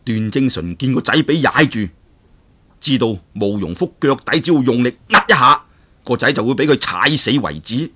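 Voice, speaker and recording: A man from Hong Kong telling a martial arts tale in a dramatic, animated storyteller's manner. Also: mid-range voice, normal speed. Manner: animated, dramatic, chuckling asides. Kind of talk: traditional Cantonese storytelling of a martial arts novel.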